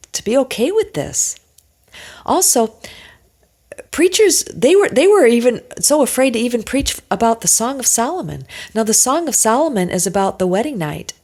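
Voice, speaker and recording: A woman's voice: mid-range voice, a little mellow. A woman giving an informal religious teaching talk, emphatic and insistent, repeating her points and posing rhetorical questions.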